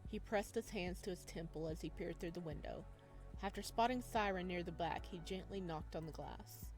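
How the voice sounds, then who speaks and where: A woman reading a visual novel aloud as a let's player, mixing expressive narration with casual reactions. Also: lowish voice, normal speed, faint music behind, low-quality recording.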